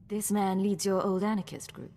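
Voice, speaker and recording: An actress playing a stern, loyal female intelligence agent in a video game, speaking in a measured, serious way. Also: medium pitch, little pitch movement, dark tone, natural voice. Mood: calm.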